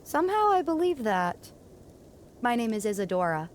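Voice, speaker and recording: A woman's voice: a little high, big pitch swings, moderate pace, a little hiss or hum. A woman playing a determined, kind young female mountain climber, plucky and inquisitive, in a family audio drama. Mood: friendly, curious.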